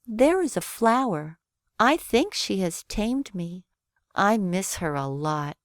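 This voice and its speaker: An innocent, tender little boy prince, played by a woman reading aloud in a children's storybook. The delivery is sincere and soft, with very varied pitch and a close, dry sound. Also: sing-song, takes it slowly, no room sound. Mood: wistful.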